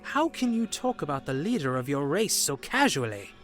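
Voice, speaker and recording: A gentle, anxious young man, acted by a male performer in a fantasy audio drama. His voice is fairly high and moves around a lot, earnest and a little hesitant, over faint music. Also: big pitch swings, moderate pace. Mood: surprised, concerned. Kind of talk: visual-novel audio drama.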